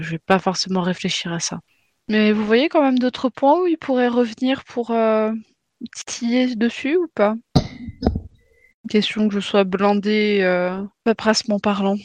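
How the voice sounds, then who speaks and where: A woman's voice: medium pitch, dry recording. A woman playing a tabletop roleplaying game who speaks in character, politely and matter-of-factly. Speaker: player.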